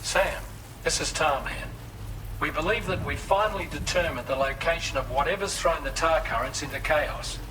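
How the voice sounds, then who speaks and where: A man's voice: a little high, moderate pace, distant mic, background noise, natural voice. A man playing a polite, earnest colleague giving a businesslike mission briefing in a video game. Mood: concerned, hopeful. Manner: courteous, businesslike.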